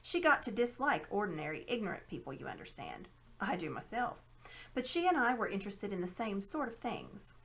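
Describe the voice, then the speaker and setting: A woman acts out a self-important old gentleman from a storybook. He talks down to his listener in grand, pompous phrases, with a very animated rise and fall in pitch. His temper is smug and scheming. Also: fairly quick. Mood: evasive, self-satisfied. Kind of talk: storybook read-aloud character voice.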